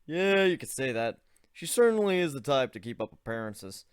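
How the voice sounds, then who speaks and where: A man's voice: mid-range voice, very animated, normal speed, clear, resonant tone, dry recording, lightly acted. Awkward young human guy, hesitant , read by a man, dry.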